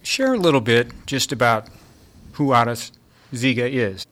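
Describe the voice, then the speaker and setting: Guy interviewing someone, middle pitch, easygoing questions. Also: expressive, measured pace, fairly bright tone.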